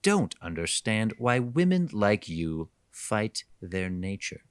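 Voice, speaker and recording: A menacing, pompous male kidnapper from a horror radio play acted by a man. He preaches with genteel condescension, taking his time, his pitch rising and falling widely in a very dry recording. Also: big pitch swings, slow pace, clear, resonant tone, no room sound. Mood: patronising. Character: menacing male kidnapper, pompous, patronising, fixated on obedient wives. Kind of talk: horror radio drama acting.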